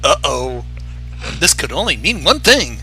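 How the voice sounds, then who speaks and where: A man's voice: mid-range voice, big pitch swings, unhurried, bright, forceful tone, faint music behind, poor audio. A man giving blunt, sardonic reaction commentary in a casual way as a co-host. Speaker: co-host commenting on a fan fiction reading.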